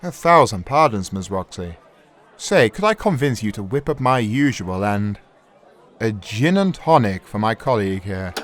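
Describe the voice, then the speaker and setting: A man's voice: lowish voice, big pitch swings, moderate pace. A man playing a flamboyant, cocky lead programmer who teases his old colleague in an audio drama. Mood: teasing, cocky. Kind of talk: audio drama character dialogue.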